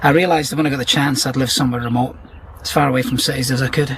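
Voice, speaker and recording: An actor playing a reserved, gentle, nature-loving man with a Glasgow Scottish accent in a radio drama, plain-spoken and understated. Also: medium pitch, fairly even intonation, very fast, noisy background, lightly acted. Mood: reminiscing.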